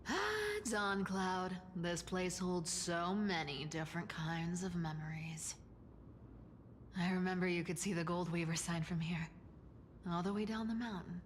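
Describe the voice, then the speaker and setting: A woman voicing a nostalgic, ageless character in a video game, breathy and reminiscing. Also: fairly low pitch, fairly even intonation, measured pace, a little hiss or hum, natural voice. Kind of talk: dramatic video game voice acting.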